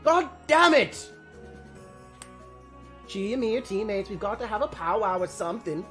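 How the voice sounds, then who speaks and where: A man's voice: sits high, sing-song, moderate pace, sharp tone, heavily acted. Man acting out haughty teenage troll, royal-blooded, petulant, pompous, indignant, amateur comic dialogue, light music behind. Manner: pompous, indignant, mixing archaic words with swearing.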